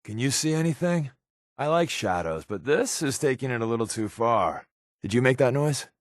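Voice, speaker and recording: Guy doing a sarcastic spy buddy from a game, secretive, deadpan jokes but pitch moves around a lot, really dry sound. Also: normal speed, no echo, close to an everyday voice. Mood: sardonic, uneasy. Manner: casual, sardonic, deadpan quips. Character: wry, wisecracking, secretive male spy companion, paranoid. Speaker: video game voice actor. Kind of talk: game companion dialogue lines.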